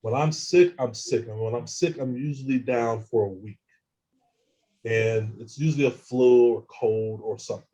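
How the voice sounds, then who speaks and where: A man telling his own experiences as an interview guest, halting and often repeating words as he restarts sentences. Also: medium pitch, warm tone, poor audio. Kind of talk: casual first-person account.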